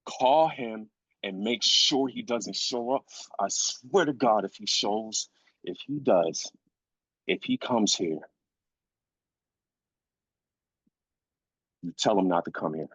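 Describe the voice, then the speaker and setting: Man acting a husky, furious grieving father. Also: lowish voice, a little slow, fairly bright tone, no room sound, rough recording. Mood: stern, agitated. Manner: incredulous, emphatic, repeats phrases for weight. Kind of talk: live dramatic script reading.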